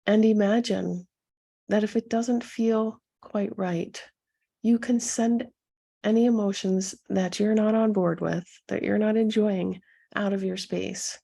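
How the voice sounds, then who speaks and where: A woman leading a guided meditation as a coach, speaking softly and soothingly to her listeners with a gentle, husky delivery. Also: medium pitch, fairly even intonation. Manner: soothing, gentle, unhurried instruction with pauses.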